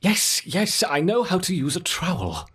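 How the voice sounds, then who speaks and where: A man's voice: sits high, sing-song, measured pace, heavy background noise. A man playing an eccentric, stubborn scientist in a video game, theatrical and huffy, muttering as he dotes on his ants. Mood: indignant, muttering. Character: eccentric, stubborn male scientist doting on his ant colony. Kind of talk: acted video game dialogue.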